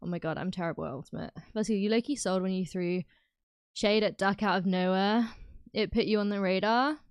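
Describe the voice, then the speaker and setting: A British woman livestreaming, chatting casually and reacting loudly to her chat. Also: medium pitch, a little fast, clean tone, no room sound, clean studio sound. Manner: animated and exclamatory, reacting to chat. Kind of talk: casual live stream chat.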